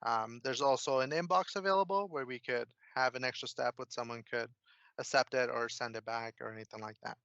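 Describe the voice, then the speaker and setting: A man presenting a casual software demo, explaining each step informally as he clicks through. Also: medium pitch, brisk, gravelly, dry recording, muffled recording. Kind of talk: casual screen-share software walkthrough.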